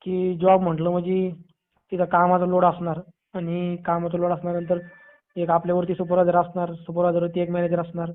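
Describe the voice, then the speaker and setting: High-voiced Marathi man on a phone line. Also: completely flat delivery, fairly quick. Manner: advising, reassuring, repeats phrases. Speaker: friend giving reassurance. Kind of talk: casual phone conversation. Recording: narrow tinny phone line.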